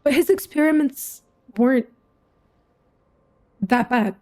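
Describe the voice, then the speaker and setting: Mellow, higher-voiced woman gamer. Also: slow pace. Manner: informal, musing aloud. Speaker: gaming content creator. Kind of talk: casual playthrough reaction commentary.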